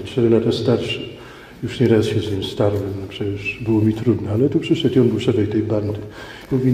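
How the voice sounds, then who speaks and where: A man's voice: lowish voice, warm tone. Middle-aged male preacher in echoing church. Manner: conversational, emphatic, repeats phrases for effect. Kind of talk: sermon with personal anecdotes. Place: large church indoors. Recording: echoey church hall with background noise.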